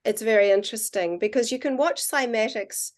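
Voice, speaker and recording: A woman with a New Zealand accent, a podcast guest and water researcher, talking in an earnest, musing way and slowing down on her key points. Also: a little high. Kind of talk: casual conversational interview.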